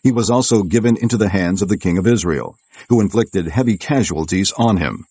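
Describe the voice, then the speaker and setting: A man narrating scripture from a script in a solemn, measured storytelling manner. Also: low-pitched, lively intonation, warm tone, husky, no room sound.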